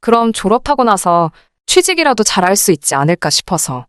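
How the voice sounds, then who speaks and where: A woman's voice: mid-range voice, sing-song, fairly quick, clean tone, no room sound, studio quality. A woman narrating a scripted first-person story in a polite, confiding storytelling manner. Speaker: narrator of a radio-drama story channel.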